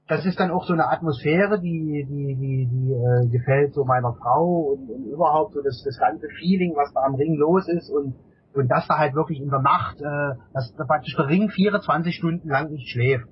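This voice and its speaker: A middle-aged man with a husky voice answers casually as an interview guest, often repeating words while he searches for phrasing. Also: on the high side, normal speed, slight background noise. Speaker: interview guest, amateur endurance cyclist. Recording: dull, lo-fi interview audio.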